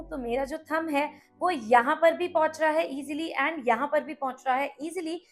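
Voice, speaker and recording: High-pitched Indian woman presenting products. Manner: explanatory, mixes English words into speech. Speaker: product review host. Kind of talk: casual product comparison presentation.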